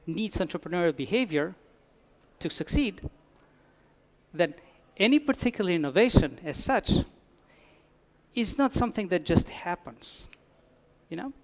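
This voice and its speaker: A man's voice: sits high, very animated, a little slow, pauses often. A man from Albania, giving a conference talk with dry humor and frequent restarts. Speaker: conference speaker. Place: conference hall.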